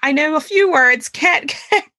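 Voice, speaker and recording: A woman's voice: sits high, lively intonation, a little slow, sharp tone, dry recording. A woman hosting a podcast, upbeat and welcoming as she reads her guest's bio and chats. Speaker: podcast host. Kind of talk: casual interview chat.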